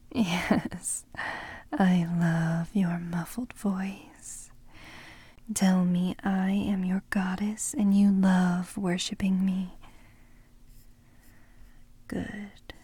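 A woman speaking in a soft, breathy whisper for an ASMR roleplay, teasing and commanding. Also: mid-range voice, no echo.

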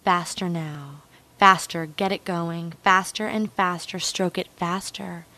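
A woman speaking in an intimate, commanding hypnosis style, coaxing and repetitive, with a breathy voice that often drops to a whisper. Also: lowish voice, fairly even intonation, sharp tone, rough recording. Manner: slow, drawn-out, dominant and coaxing. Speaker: erotic hypnosis performer.

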